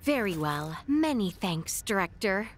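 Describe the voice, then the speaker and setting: Fantasy game heroine: young noblewoman, medium pitch, courteous and composed, old-world formality. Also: big pitch swings, a little slow. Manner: formal, polite, old-fashioned courtly phrasing. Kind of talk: scripted video game dialogue.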